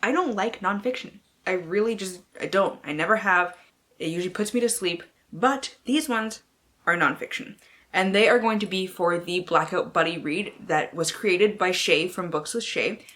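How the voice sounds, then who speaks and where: A woman's voice: on the low side, moderate pace, fairly bright tone. A woman book vlogger chatting casually and reading blurbs aloud in a playful, theatrical way, with slang and free swearing.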